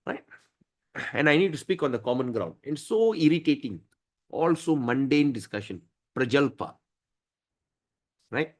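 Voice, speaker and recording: A man's voice: medium pitch, animated, measured pace, pauses often. A man teaching a scripture study class, emphatic and often asking his listeners "right?".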